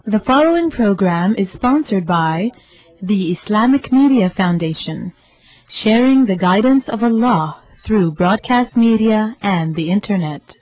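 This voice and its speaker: A woman reading formal station announcements in an even, scripted way. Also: mid-range voice, a little slow, low-quality recording.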